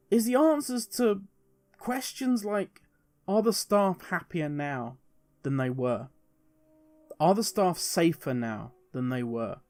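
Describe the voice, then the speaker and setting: Man, high-pitched voice, video host, earnest emphatic commentary, rhetorical questions, faint background music. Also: warm tone. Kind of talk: opinion commentary to viewers.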